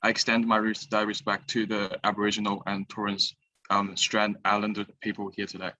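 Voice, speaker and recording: A man's voice: on the low side, completely flat delivery, moderate pace, sharp tone, dull audio. A young male first-year student from China, a guest speaking plainly and politely on a video call. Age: young adult. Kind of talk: casual chat. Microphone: headset on a video call.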